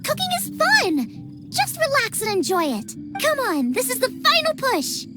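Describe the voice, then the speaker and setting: A woman's voice: very high-pitched, huge pitch swings, a little slow, over loud music, heavily acted. A woman voicing a cheerful, passionate young girl chef in an anime-style video game, bubbly and earnest. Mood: encouraging.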